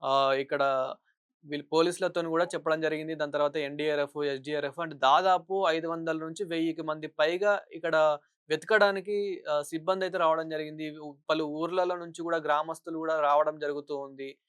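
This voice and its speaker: A man's voice: on the high side, fairly even intonation, brisk, fairly bright tone, clean tone, no room sound, studio quality. A man working as a news interviewer, putting long, wordy questions in a phone interview.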